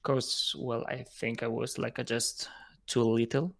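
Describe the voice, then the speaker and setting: Halting man. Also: mid-range voice, flat delivery, creaky, fairly bright tone. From Ukraine. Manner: halting, repeats words as he thinks. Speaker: guest, software engineer. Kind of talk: casual interview chat.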